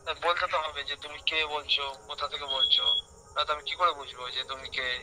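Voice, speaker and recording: A man's voice: a little high, fairly even intonation, fast, heavy background noise. A man in a casual phone conversation, questioning the other person insistently. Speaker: prank call recipient.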